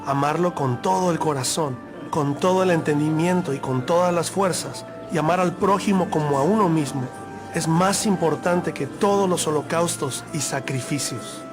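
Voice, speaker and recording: A man's voice: fairly high pitch, normal speed, natural voice. A man acting an earnest teacher of the law in a dramatized audio Bible, with an emphatic, declamatory delivery. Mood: approving, earnest. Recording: music playing behind.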